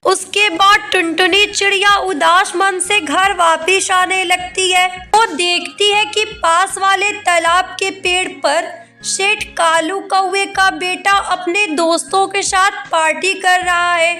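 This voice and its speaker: A woman's voice: very high voice, steady tone, slow, sharp tone, faint music behind. A woman narrating a scripted children's cartoon story.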